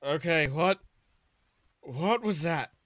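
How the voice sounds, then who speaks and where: A man's voice: on the high side, lively intonation, slow pace, fairly bright tone, dry recording. A man voicing a bewildered, wary pirate captain in a comedic story reading, hesitant and full of puzzled questions. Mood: rattled. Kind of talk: comedic fan fiction reading.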